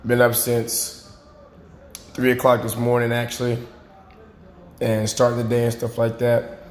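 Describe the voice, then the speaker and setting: A man with a raspy, hoarse voice, a fitness coach talking casually and earnestly to his viewers, often checking in with "right?" and "okay?". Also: mid-range voice, monotone, unhurried. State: hoarse after boot camp. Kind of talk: casual talk to camera. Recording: roomy recording.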